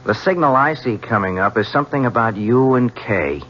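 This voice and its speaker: An actor playing a defiant, earnest young adult son in a 1970s radio drama, speaking in a challenging, pointed way. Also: male voice, mid-range voice, expressive, brisk, close to an everyday voice. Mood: confrontational. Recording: muffled, hissy old radio broadcast with hum.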